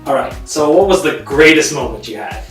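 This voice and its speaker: A man's voice: on the high side, animated, fairly quick, soft background music. A man hosting an interview, asking questions with frequent hesitant fillers. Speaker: host. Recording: echoey room from far off.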